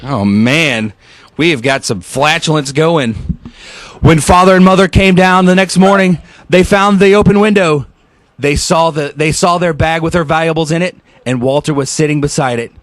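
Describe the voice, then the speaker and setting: High-pitched man reading a story aloud. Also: moderate pace, dry recording. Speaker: radio host. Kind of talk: animated storybook read-aloud with off-the-cuff asides.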